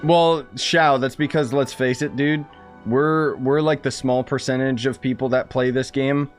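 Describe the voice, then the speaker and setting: Streamer guy rambling opinions over gameplay, medium pitch, casual slang, faint music behind. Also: fairly even intonation, measured pace. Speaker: game streamer. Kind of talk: casual live game commentary. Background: faint game music.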